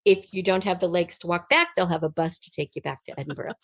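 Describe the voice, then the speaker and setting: A woman's voice: on the low side, fast talker, a bit bright, no echo, studio quality. A middle-aged American woman telling stories enthusiastically as a guest in a conversational interview. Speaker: writer and podcast guest.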